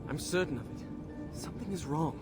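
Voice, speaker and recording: A young, headstrong prince acted by a man from an adventure game, speaking in a high, earnest, pressing voice. He sounds loyal and questioning. Loud score plays underneath. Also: animated, fairly quick, over loud music, natural voice. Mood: troubled, determined. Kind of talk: video game voice acting.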